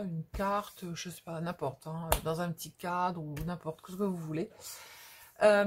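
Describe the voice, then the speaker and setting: A middle-aged woman talks in an unhurried, informal way. Her voice is somewhat rough and breathy, at an ordinary pitch for a woman. She hosts like a home craft vlogger, hesitating, circling back and repeating herself as she explains. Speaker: craft video host. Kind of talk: casual unscripted vlog chat.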